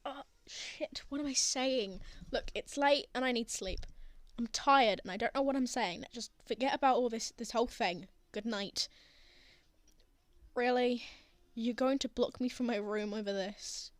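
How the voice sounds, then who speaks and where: Woman playing a high-voiced, flustered young man. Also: no echo, lightly acted. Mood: flustered, defensive. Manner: halting, defensive, sardonic. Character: flustered, prickly, lovestruck young man confessing to friend. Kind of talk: intimate ASMR roleplay acting.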